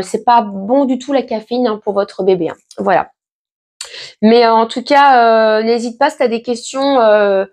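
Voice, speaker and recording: A woman's voice: fairly high pitch, darkish tone. A pregnant woman in her late thirties, chatting casually and reassuringly to her viewers with lots of fillers and restarts. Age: 30s. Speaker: vlogger answering viewer questions. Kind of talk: casual chat.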